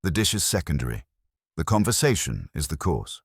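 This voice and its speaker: Guy doing a pompous villain in a detective story, pleasantly menacing, super low n husky. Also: big pitch swings, brisk, no room sound, studio quality, exaggerated voice. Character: smug, theatrical male villain, pleasantly menacing schemer.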